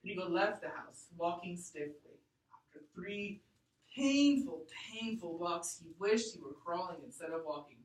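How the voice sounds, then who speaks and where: A woman teacher reading aloud to a class and explaining deliberately and expressively, thinking out loud. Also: lowish voice, lively intonation. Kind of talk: read-aloud lesson to a class. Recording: echoey room, distant mic.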